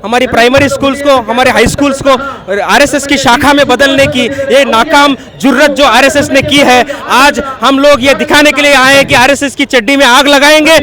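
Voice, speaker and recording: High-voiced fervent man at a street protest. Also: fairly even intonation, normal speed. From Karnataka, India. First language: Kannada. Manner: fervent, accusatory, rally-style delivery. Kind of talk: impassioned protest statement to a reporter. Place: outdoor street protest in a city. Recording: street protest crowd behind.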